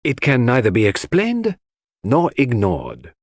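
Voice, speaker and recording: A man performs a solemn, shrewd foreign detective from a mystery audiobook. He speaks with measured emphasis and quiet command, his pitch moving expressively, in a close, dry recording. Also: wildly swooping pitch, no room sound. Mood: musing.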